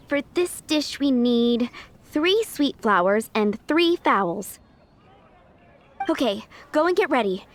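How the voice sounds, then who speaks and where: Game shopkeeper cook: polite, proud, harried young woman, high and lively delivery. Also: slow, pauses often, a little hiss or hum. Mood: businesslike, friendly. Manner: polite, earnest, expressive. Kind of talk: anime-style video game voice acting.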